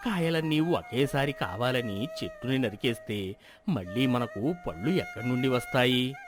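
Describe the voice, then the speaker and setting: A man puts on a wise old village elder from a moral tale. He speaks low and calmly, gently admonishing in measured phrases, with music behind. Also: natural voice. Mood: calm, advising.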